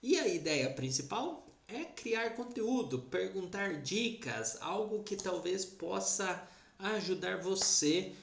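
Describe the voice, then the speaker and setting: A podcast host, speaking in a lively, teacherly way and explaining expressions to the guest. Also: mid-range voice, takes it slowly, vocal fry. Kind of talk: podcast interview. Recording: roomy echo.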